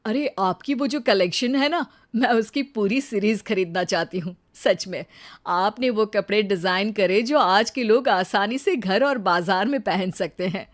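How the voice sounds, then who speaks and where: A woman's voice: mid-range voice, measured pace, natural voice. A woman voicing an admiring, inquisitive fashion buyer in a cartoon story, polite and enthusiastic.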